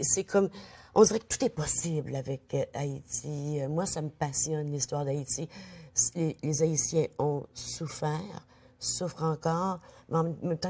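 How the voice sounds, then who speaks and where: A woman hosting a conversational interview, who affirms her guest often with quick agreements and leads into the next topic. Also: deep voice, warm tone. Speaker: talk show host.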